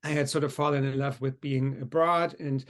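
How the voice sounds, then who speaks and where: A middle-aged man from Germany, a biology professor speaking on a Q&A panel in a relaxed way, clearing his throat now and then. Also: medium pitch, moderate pace. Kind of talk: panel Q&A introduction.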